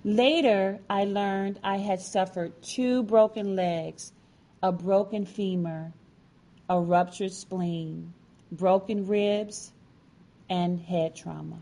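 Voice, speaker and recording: A woman storyteller narrates in a slow, measured way. Her phrasing is deliberate and dramatic, as in a prepared personal story read aloud. Her pitch sits in a middle range for a woman. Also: fairly even intonation, dark tone. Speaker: featured storyteller.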